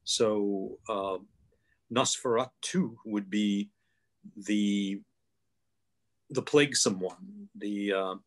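An older man on a video call with a headset mic, explaining things thoughtfully with many pauses and fillers. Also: lowish voice, steady tone. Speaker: guest author. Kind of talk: online panel discussion. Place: home room, video call.

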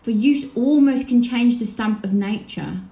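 An accusing, righteous young royal acted by a woman delivers Shakespearean verse in a fairly high voice. The delivery is intense and admonishing, in a roomy echo. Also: a little slow, warm tone. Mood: stern pleading. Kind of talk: Shakespearean audio drama acting. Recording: roomy, echoing home recording.

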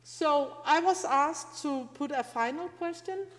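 A middle-aged woman gives an informal academic talk as a university lecturer, speaking in a halting, conversational, explanatory way into a handheld microphone. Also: high-pitched. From Scandinavia. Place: large lecture room, indoors. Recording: reverberant lecture hall PA.

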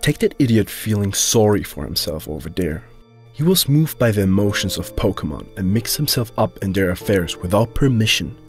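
Man playing a cold, low-voiced young rival. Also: animated, normal speed, faint music behind. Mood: cold, scornful. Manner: curt, dismissive, stern. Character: cold, proud young male rival trainer obsessed with strength. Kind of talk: dramatic anime-style voice acting.

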